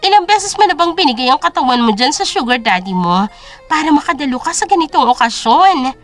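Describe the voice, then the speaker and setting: A woman puts on a haughty, spiteful rich woman from an audio drama. Her voice is very high and swoops widely as she mocks in shrill exclamations. Faint music plays underneath. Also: sing-song, a little fast. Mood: mocking. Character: haughty, spiteful rich sister-in-law, woman, sneering insults.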